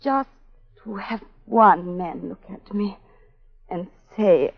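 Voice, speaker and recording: A woman's voice: medium pitch, takes it slowly, gravelly, husky, exaggerated voice. Casting: hard-bitten, scarred young woman, clipped and theatrical, vintage radio melodrama, dull lo-fi sound. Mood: bitter, impassioned. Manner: clipped, defiant, theatrical delivery. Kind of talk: 1940s radio melodrama acting. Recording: muffled old 1940s radio recording.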